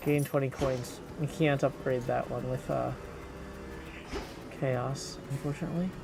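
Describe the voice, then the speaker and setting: A man's voice: mid-range voice, fairly even intonation, measured pace, gravelly, over music. A man giving casual gameplay commentary, talking to himself as he plays. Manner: talks aloud to himself while playing. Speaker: video game streamer.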